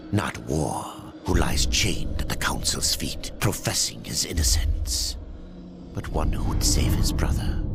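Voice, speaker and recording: A man playing a grave, ominous ancient narrator of dark fantasy game lore, solemn and theatrical with a gravelly voice. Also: low voice, full character voice. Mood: solemn, brooding. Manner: solemn, portentous, theatrical delivery. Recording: loud music under the voice. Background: loud music.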